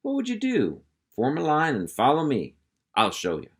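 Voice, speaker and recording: A man's voice: on the high side, wildly swooping pitch, moderate pace, pitched above the performer's own voice. A man voicing a boastful, self-important male cow dog who bosses a pack of pups, with a folksy drawl. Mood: bossy. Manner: folksy drawl, bossy and blustering.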